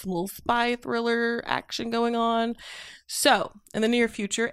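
A woman's voice: mid-range voice, no echo. Woman reading an ad spot, upbeat, dry close sound. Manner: upbeat, read from a script. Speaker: podcast host reading a sponsor ad. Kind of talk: read sponsor ad.

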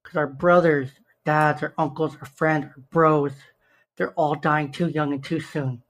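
A young man with a slightly raspy, hoarse voice, earnestly hosting a solo podcast and slowing down to stress key numbers. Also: a little high, flat delivery, a bit bright, low-quality recording. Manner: earnest, stresses numbers slowly, self-interrupting restarts. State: hoarse from shouting the day before. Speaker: podcast host.

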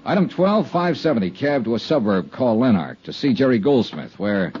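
Through a dull vintage radio recording comes an actor as a hard-boiled American male investigator with a very animated pitch. He is clipped, wry and persistent, in the manner of a fifties radio detective serial. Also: fairly quick, soft background music, lightly acted. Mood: matter-of-fact. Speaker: lead actor and narrator. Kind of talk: 1950s radio detective drama narration and dialogue.

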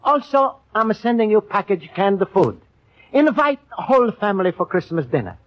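A man's voice: high voice, sing-song, a little fast. An actor playing a kindly, earnest immigrant man as a store Santa, with an Italian accent and broken English, in old radio style. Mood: happy. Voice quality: broken English with extra vowels. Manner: earnest, apologetic, eager to please. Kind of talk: old radio comedy-drama acting. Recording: dull, lo-fi old radio recording.

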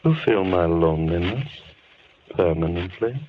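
Soft-spoken madman, low and menacing. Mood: calm, sinister. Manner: calm, formal, deliberate, politely menacing. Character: soft-spoken menacing madman, lonely, courteous male captor. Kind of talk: old-time radio horror acting. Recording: noisy, tinny old-time radio recording.

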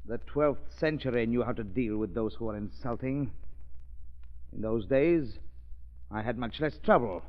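An actor playing a proud, vengeful millionaire with a grave, brooding manner in a 1930s radio drama. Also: male voice, mid-range voice, a little slow, lightly acted. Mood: resentful. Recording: dull vintage radio recording.

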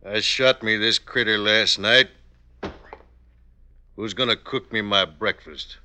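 An actor playing a menacing, playful Old West outlaw in classic radio western style, with a folksy, clipped, commanding drawl. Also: male voice, fairly low pitch, takes it slowly, sharp tone, a little hiss or hum, heavily acted. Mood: cocky.

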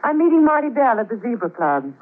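Cold scheming radio drama wife, swoopy delivery, sardonic n fake sweet, muffled old broadcast. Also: female voice, on the high side, very animated. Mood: sardonic, defiant. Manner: sardonic, brisk, theatrically gracious. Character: cool, scheming wife after an inheritance, falsely sweet. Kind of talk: classic radio drama acting.

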